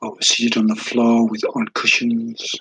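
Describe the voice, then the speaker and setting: A man leading a guided meditation in a calm, soothing, unhurried way, with a soft, breathy voice and long pauses. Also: medium pitch, no room sound, rough recording. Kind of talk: guided meditation, slow spoken instruction.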